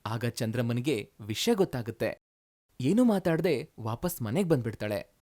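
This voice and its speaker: Narrator telling a folk story, mid pitched, scripted read. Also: sing-song, normal speed, warm tone.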